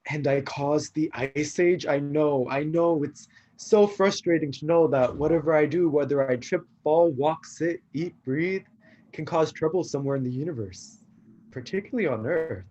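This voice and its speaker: A man's voice: on the high side, moderate pace, darkish tone, dull audio, close to an everyday voice. A man playing a clumsy young god of destruction, earnest and frustrated, in a staged play reading.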